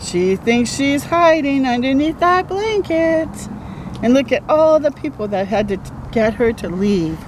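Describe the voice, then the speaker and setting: A woman reading a children's picture book aloud as a storytime host, expressive and chatting to young listeners. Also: high-pitched, unhurried, noisy background. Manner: expressive, interjects comments and questions to young listeners.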